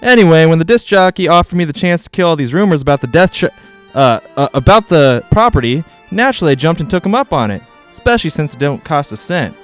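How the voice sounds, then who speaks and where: Guy doing folksy 1940s radio play house salesman, kinda high voice, swoops around a lot, music behind. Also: expressive, fast talker, natural voice. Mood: breezy, persuasive. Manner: folksy, persuasive salesman patter. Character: folksy, fast-talking, eager male real estate agent. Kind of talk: 1940s radio drama acting.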